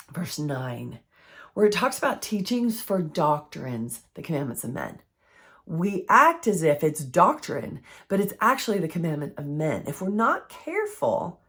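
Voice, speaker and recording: A woman with a froggy, hoarse voice from recent illness, giving an earnest, conversational faith-based health teaching. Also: fairly low pitch. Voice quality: froggy, strained voice.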